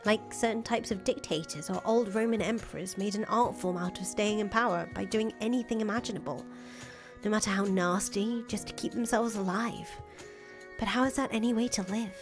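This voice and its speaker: A woman's voice: mid-range voice, a little fast, close to an everyday voice. A woman voicing a patient, loyal young woman in a visual novel, reassuring and casually sweary. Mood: reassuring, reasoning. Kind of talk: voiced reading of visual novel dialogue. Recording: music playing under the voice.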